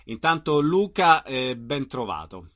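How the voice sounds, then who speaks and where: A man's voice: mid-range voice, very animated, unhurried, a bit bright. A man hosting a radio interview, putting conversational questions to his guest.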